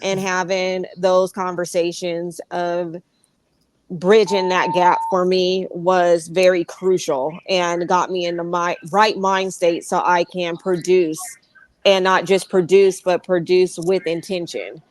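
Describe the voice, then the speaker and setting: A woman artist speaking in a reflective, measured way in an unscripted panel talk. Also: lowish voice, level tone, no room sound.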